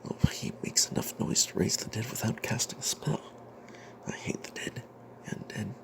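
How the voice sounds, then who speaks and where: A man voicing a greedy, cowardly adventurer cleric, telling a fantasy tale in the first person in a breathy, secretive ASMR whisper with hesitant pauses. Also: on the low side, fairly even intonation. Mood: tense, secretive. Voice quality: breathy whisper with creaky edges. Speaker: narrator of a tabletop fantasy tale.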